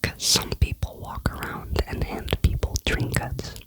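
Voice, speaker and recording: A vlogger telling a rambling, chatty personal story in a soft ASMR whisper. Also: unhurried. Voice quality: whispering throughout.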